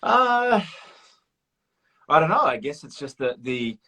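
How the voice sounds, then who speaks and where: A man's voice: a little high, very animated, slow pace, pushed and bright. A man chatting casually as an interview guest, informal and modest, feeling his way through his answers. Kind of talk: casual chat.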